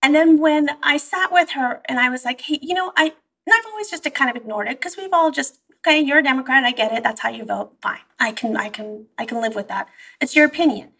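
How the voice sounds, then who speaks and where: A woman, born in Iran and raised in the US, telling stories in an animated, conversational way as an interview guest. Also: sits high, brisk, creaky, breathy edge, recorded from a distance. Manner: animated storytelling, quoting herself and her mother. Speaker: therapist guest.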